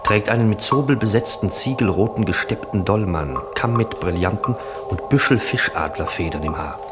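An actor playing a measured narrator who reads stage directions in a 1960s German radio drama. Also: male voice, lowish voice, over loud music, close to an everyday voice. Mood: descriptive. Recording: clearly noisy old radio recording.

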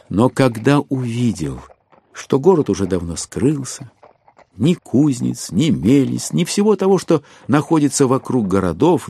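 Male narrator for literary audio drama: mellow, mid-pitched, theatrical, deliberate phrasing.

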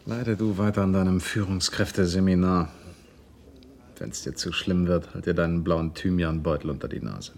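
Deep-voiced, prickly, brooding man. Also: creaky, a crowd in the background. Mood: bitter, irritated. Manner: terse, defensive, halting. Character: prickly, withdrawn, brooding man shutting out his friend. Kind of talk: radio drama acting.

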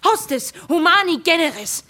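An actress playing a defiant, headstrong young merchant's daughter, proud and impassioned, in a German radio drama. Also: female voice, very high voice, expressive, normal speed, heavily acted. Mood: accusing. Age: young adult. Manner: impassioned, swinging from hushed to crying out.

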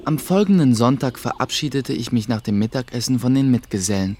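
Man acting an earnest young apprentice, mid-pitched. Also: animated, fairly quick, mellow, close to an everyday voice. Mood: reflective. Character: earnest young male apprentice telling his own story. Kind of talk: radio play narration and dialogue.

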